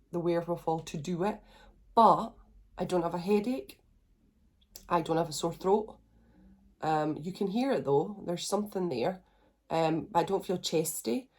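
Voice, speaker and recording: Congested woman, low-pitched. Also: mellow. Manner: chatty, rambling, self-reassuring. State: congested, blocked nose. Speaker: vlogger. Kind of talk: casual video diary.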